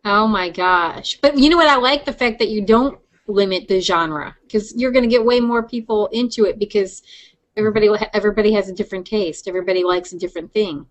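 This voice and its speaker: A woman's voice: mid-range voice, quick pace. A playful, giggly woman chatting casually as a podcast co-host. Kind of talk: casual chat.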